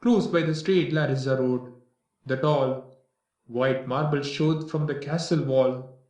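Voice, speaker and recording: Guy playing mournful greek servant, slow solemn reproachful talk, echoey room. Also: medium pitch, a little mellow, lightly acted. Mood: sorrowful. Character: grieving male servant of a royal house, reproachful. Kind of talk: Greek tragedy in archaic verse. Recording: echoey room, distant from mic.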